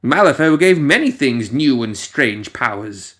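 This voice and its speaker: A man reading a scripted story as narrator, in a theatrical, ominous manner with lingering pauses. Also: medium pitch, big pitch swings, a bit bright.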